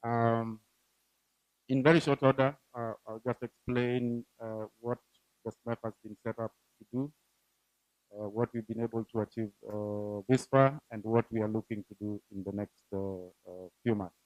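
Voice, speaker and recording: This is a man presenting a development program at a formal event. His delivery is halting and full of fillers, with his loudness drifting up and down. The recording is slightly dull and dry. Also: medium pitch, steady tone, no room sound. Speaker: program team leader presenting at a donor event.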